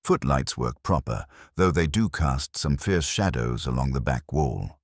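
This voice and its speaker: Man as deep-voiced, plain-spoken hall caretaker. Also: lively intonation, a little slow, slightly rough, studio quality, full character voice. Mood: practical satisfaction. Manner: folksy, practical.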